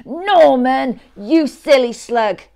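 Woman putting on high-pitched, excitable storybook snails. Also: takes it slowly, vocal fry, exaggerated voice. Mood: indignant. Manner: exclamatory, urgent calling out. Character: excitable young snails, scolding and easily panicked. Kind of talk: children's picture-book character voice.

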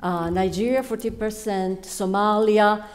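A woman's voice: mid-range voice, fairly even intonation, unhurried. A middle-aged woman giving a talk at a lecture hall podium, hesitant, with many fillers and restarts and emphasis on key words. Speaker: panel speaker, faculty member. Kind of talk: academic panel talk with slides. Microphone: podium microphone.

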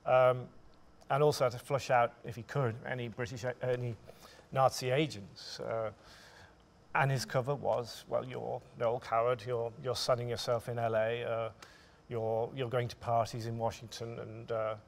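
Creaky-voiced man on a discussion panel. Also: mid-range voice, flat delivery, a little slow. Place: theatre stage, indoors.

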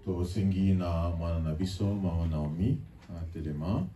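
A man's voice: low voice, moderate pace, mellow. A man giving formal church announcements and leading call-and-response during a service. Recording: distant mic with faint noise.

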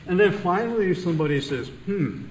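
An older man from Hawaii with a loud voice, giving a chatty, joking conference lecture as a physician. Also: high-pitched, lively intonation, a little fast, slight background noise. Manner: chatty, self-deprecating, laughs at own jokes. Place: large conference room. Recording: echoey room, voice from a distance.

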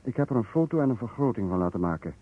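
Methodical investigator, medium-pitched, deliberate, narrow-band vintage radio drama sound. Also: male voice, animated, slightly rough. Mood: explanatory, earnest. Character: earnest, methodical male Italian police investigator. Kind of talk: old Dutch radio detective drama acting.

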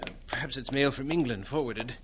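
An actor playing an earnest, halting young English missionary in an audio drama, lovestruck and fervent. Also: male voice, medium pitch, very noisy, lightly acted. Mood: anxious. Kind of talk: dramatised radio-play acting.

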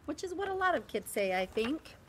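A woman in her forties, a teacher, reading a picture book aloud from indoors and pausing to explain the pages, with a slow, emphatic parent's voice for the scolding lines. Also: high voice, big pitch swings, a little hiss or hum. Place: indoors, small room before a plain wall.

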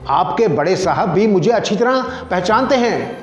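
A man's voice: sits high, animated, normal speed, soft background music. A man voicing a poor, timid barber in a story, pleading and whining. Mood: anxious. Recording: roomy echo, dull lo-fi sound.

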